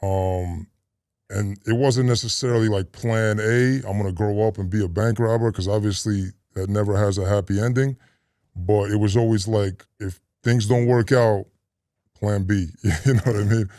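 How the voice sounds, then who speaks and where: A middle-aged man talking casually as an interview guest, relaxed and meandering with frequent restarts. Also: fairly low pitch, moderate pace, no echo, clean studio sound. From grew up in a Russian immigrant neighborhood in the US. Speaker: interview guest, retired robber.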